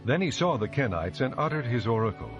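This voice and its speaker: A man's voice: medium pitch, very animated, a little fast. A man narrating scripture as a scripted dramatized audio book. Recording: dull lo-fi audio with music. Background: music.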